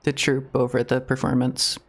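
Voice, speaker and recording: A man's voice: medium pitch, completely flat delivery, moderate pace, vocal fry. A man giving casual live game commentary as a streamer, chatty and musing.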